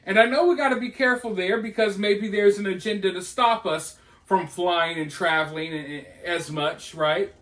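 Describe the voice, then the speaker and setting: Male news vlogger, high register, casual opinionated commentary, recorded with noticeable room echo. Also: moderate pace, hardly any pauses, fairly bright tone. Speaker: host of a news commentary vlog. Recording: roomy, echoey voice recording.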